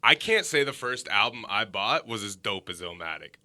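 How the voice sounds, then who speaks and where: Hype co-host with lively voice. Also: male voice, fairly low pitch, normal speed, no room sound. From Ontario, Canada. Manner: hype, joking interjections, slangy. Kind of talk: casual interview chat.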